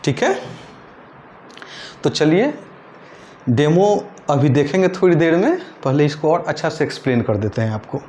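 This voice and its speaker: A man teaching a patient, step-by-step lesson, his voice sitting a little higher than most men's. He checks in often with a quick "okay" and moves through each point carefully. A faint hiss runs under the recording. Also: very animated, a little mellow. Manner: patient, repeats points with frequent "ठीक है". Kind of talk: screen-recorded tutorial lesson.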